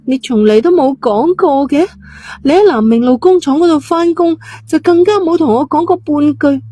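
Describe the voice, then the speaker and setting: A woman's voice: high-pitched, moderate pace, warm tone, voice pushed up, close to an everyday voice. A woman voicing a defiant, desperate eighteen-year-old girl in an urgent, pleading, emotional way for an audio drama.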